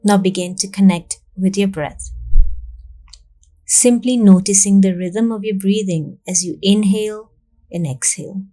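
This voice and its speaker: Female meditation guide. Calm, soothing narration, slow delivery, warm dark tone, generous pauses. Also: mid-range voice. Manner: calm, soothing, measured instruction with long pauses.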